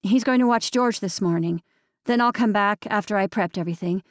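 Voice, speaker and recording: A woman's voice: medium pitch, brisk, no room sound, studio quality, close to an everyday voice. A woman narrator voicing a blunt, busy young mother scolding her sister. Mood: sharp.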